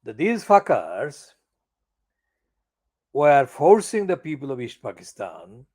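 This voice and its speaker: Slow, animated older man venting with contempt. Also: on the high side. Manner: angry, profane, emphatic; slow, deliberate phrasing with stressed words. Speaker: channel editor giving commentary. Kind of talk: personal political monologue.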